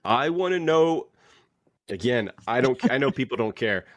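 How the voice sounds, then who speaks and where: A man co-hosting a podcast, chatting casually in an informal, halting, wry manner. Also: medium pitch, expressive, no room sound. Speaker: podcast co-host. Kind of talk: casual panel chat about a TV trailer.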